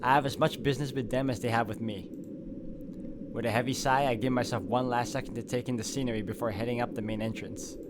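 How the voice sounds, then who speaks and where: A man playing through a visual novel and reading its narration aloud in an expressive storytelling manner. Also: mid-range voice, fast talker, very noisy. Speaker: video game walkthrough player. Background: game music.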